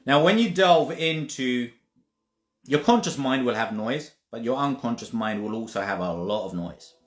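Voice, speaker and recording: A man's voice: medium pitch, animated, moderate pace. Emphatic man in an echoey room. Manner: emphatic, repetitive restarts, occasional swearing. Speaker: spiritual teacher. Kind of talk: casual spiritual monologue. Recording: roomy echo with faint music.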